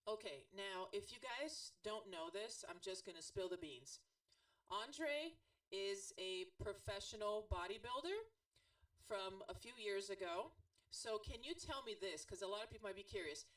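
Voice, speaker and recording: A woman from Brazil with a Brazilian Portuguese accent, hosting a podcast interview in casual chat, animated and quick to laugh. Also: medium pitch, far from the mic, poor audio. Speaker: podcast host.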